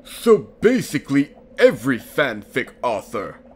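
A man voicing a solemn old ocean spirit king in a cartoon parody, deliberate and dryly wise. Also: mid-range voice, sing-song, a little mellow, slight background noise.

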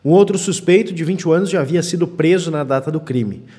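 A man's voice: on the high side, quick pace, mellow. A man reading scripted news reports in voice-over. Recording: long reverb on voice-over.

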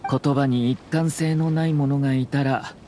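A man voicing a shrewd young nobleman in a video game, speaking in formal, measured, tactful Japanese phrasing. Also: mid-range voice, fairly even intonation, dark tone, slight background noise, natural voice. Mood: shrewd, guarded. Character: composed, courteous, shrewd young nobleman and government commissioner.

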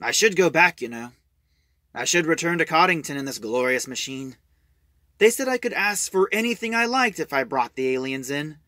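A man's voice: fairly high pitch, lively intonation, normal speed, pushed and bright, no room sound, studio quality. A man voicing a weary, principled old adventurer in an audio drama retelling, earnest and declamatory. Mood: regretful.

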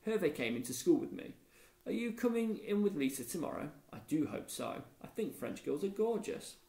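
A man's voice: on the high side, big pitch swings, normal speed, creaky, warm tone, pitched above the performer's own voice. A man voicing a friendly, greedy schoolboy in a storybook, chatty and matter-of-fact. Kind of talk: storybook character dialogue. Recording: echoey room.

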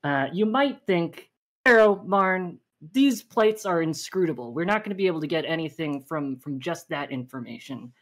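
A woman's voice: sits low, animated, moderate pace. A woman hosting a casual livestream game show, explaining the rules at length with many fillers.

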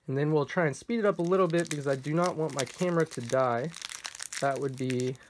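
Man, casual unboxing chat, fairly high pitch, slightly hoarse, reads card names one by one. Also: fairly quick, noisy background. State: slightly hoarse, clearing throat. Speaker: card collector opening packs. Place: indoors at a table.

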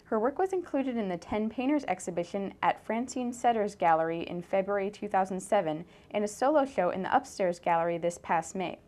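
Woman narrating an event listing, scripted, normal pitch. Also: expressive, a little fast, few pauses, darkish tone. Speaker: presenter.

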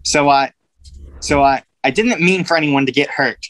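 A man's voice: on the high side, normal speed, background noise, natural voice. A man playing an ashamed, defensive teenage boy in a script table read, hesitant and faltering.

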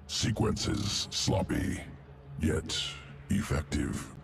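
A man's voice: drawn-out words, background noise, heavily acted. A man voicing a cold, clinical alien creature in a video game, growling in clipped, telegraphic phrases. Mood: clinical, detached. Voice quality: deep gravelly growl.

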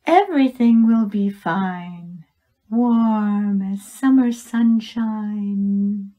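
Eerie female water spirit, voiced by an actress, hypnotic and luring, drawn-out delivery, slightly dull audio play recording. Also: medium pitch. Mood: coaxing. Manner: slow, coaxing, hypnotic.

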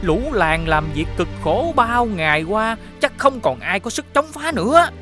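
Cartoon villain: greedy blustering traitor, high swooping voice, music underneath. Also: male voice, big pitch swings, a little slow. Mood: fawning. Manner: blustering, drawling, stammering. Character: greedy, bullying middle-aged male village traitor, boastful and fawning. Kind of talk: exaggerated animated villain acting.

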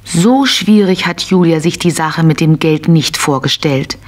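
A woman's voice: deep voice, measured pace. A woman narrating a scripted children's story as part of a radio play.

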